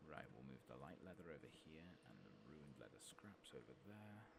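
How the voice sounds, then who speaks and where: A man with a very deep voice full of heavy fry murmurs unhurried ASMR game commentary. His pitch moves around freely even while he stays soft-spoken. There is loud noise behind him, with game ambience and clicks. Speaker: gaming ASMR video creator.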